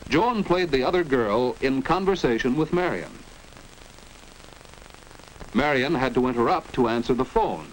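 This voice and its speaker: Lively American man narrating an old educational film. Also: mid-range voice, unhurried, long pauses. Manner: didactic, precise enunciation. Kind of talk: 1940s instructional film narration. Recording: noisy 1940s educational film soundtrack.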